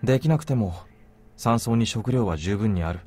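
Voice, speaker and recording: Casting: cool, resolute anime lead, low register, very rapid clipped lines, blunt. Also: male voice, lively intonation, a little mellow, soft background music. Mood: matter-of-fact. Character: stoic, blunt young man, coolly resolute. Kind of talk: Japanese visual novel voice acting.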